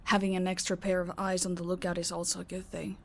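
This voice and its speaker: Soft, even-toned caring vampire woman, voiced by an actress. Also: fairly low pitch, quick pace, gravelly, a little hiss or hum. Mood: reassuring. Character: caring, calm female vampire who looks after her housemates. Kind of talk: audio roleplay acting.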